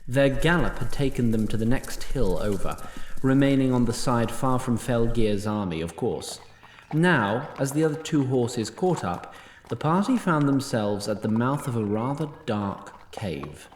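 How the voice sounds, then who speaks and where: A man's voice: medium pitch, expressive, unhurried, a little mellow, echoey room, slight background noise. A man narrating a scripted fantasy story in a wry, literary manner.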